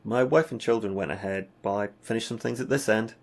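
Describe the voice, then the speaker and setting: A man playing a nervous, hesitant family man with a creaky voice in an audio drama. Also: fairly low pitch, fairly quick. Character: nervous family man about to undergo futuristic procedure.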